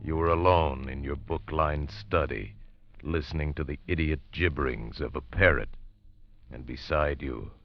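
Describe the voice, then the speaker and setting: Very deep, gravelly ominous announcer man. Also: moderate pace. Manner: ominous, portentous. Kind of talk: dramatic radio introduction. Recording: dull 1940s radio broadcast with music.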